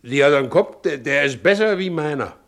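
Brooding, plain-spoken ageing carter. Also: male voice, on the high side, lively intonation, a little fast, gravelly, a bit bright. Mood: admiring, torn. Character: good-natured, troubled ageing widowed carter, slow and uncertain. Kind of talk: stage-style drama acting in colloquial dialogue.

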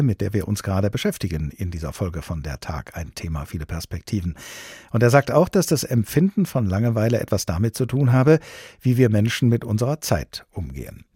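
A man hosting a radio talk show who asks long, hesitant questions full of fillers and reads smooth announcements. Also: lowish voice, dark tone, no room sound, clean studio sound. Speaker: radio host.

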